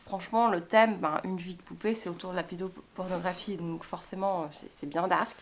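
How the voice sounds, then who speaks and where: A woman's voice: on the low side, steady tone, a little fast, slight background noise. A woman book vlogger from Belgium chatting casually to camera in a self-deprecating way.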